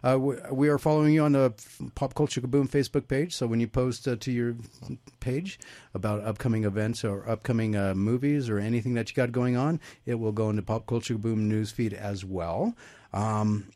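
A man's voice: medium pitch, fairly even intonation, dark tone, dry recording. Man hosting a talk radio show. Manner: halting, filler-heavy questions. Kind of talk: casual radio interview.

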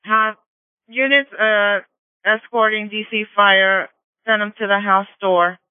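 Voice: medium pitch, fairly even intonation, slow, clean tone, dry recording. A police radio dispatcher directing units in radio procedure over a narrow radio channel.

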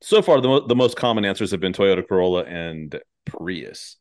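A man's voice: low-pitched, expressive, normal speed, a little mellow. A man hosting a podcast in casual chat, with an irreverent, sweary way of talking. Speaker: podcast host.

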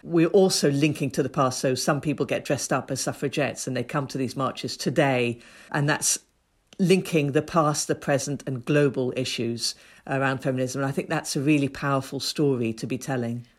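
A woman from Ethiopia, a women's rights activist, giving earnest, measured interview answers that build up points in lists. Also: extremely low pitch.

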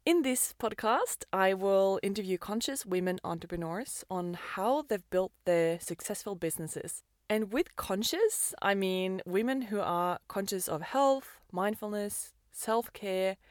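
A woman's voice: mid-range voice, dry recording, clean studio sound. A woman, hosting a podcast in an upbeat, enthusiastic scripted monologue. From Norway. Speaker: podcast host and business coach.

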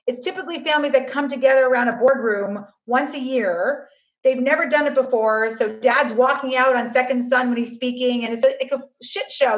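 A woman who works as a family mediator, talking earnestly as a guest, laying out numbered steps and telling anecdotes. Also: rough recording. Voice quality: low-voiced by her own account. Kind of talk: conversational guest explaining advice.

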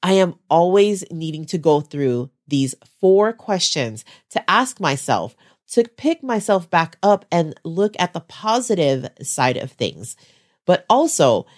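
A woman with a low, congested voice, still getting over the flu. She talks straight to her listeners as a special ed teacher with her own podcast, chatty and encouraging. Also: darkish tone. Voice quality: lower, congested voice. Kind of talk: solo podcast talk.

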